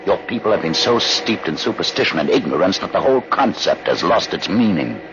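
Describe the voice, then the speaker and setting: A commanding, learned older rebel of a starship in vintage radio drama style. His low voice swings widely in pitch as he lectures with theatrical condescension. The old recording is muffled and noisy. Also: lively intonation, brisk, a bit bright. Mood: grave, masterful.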